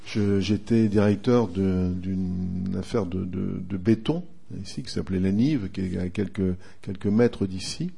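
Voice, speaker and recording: A retired man in his sixties from northern France talks about his past in a relaxed interview, pausing often as he thinks. His voice sits fairly low and sounds soft and mellow rather than bright. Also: creaky, breathy edge. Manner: unhurried, reminiscing, frequent hesitations. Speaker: guest, retired racing driver and motoring writer. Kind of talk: relaxed radio interview answers.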